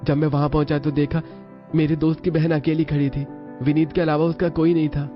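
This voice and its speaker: A man's voice: fairly high pitch, flat delivery, a little fast, close to an everyday voice. A man playing an earnest, dutiful young son in a cartoon family story. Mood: earnest, apologetic. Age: young adult. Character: dutiful, earnest newly married son explaining himself. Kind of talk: Hindi cartoon story voice acting. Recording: dull lo-fi audio over music.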